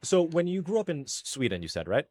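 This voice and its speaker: A man's voice: a little high, big pitch swings, fast talker, darkish tone. A man from the United States hosting a casual chat, curious and full of questions, often stumbling over his words.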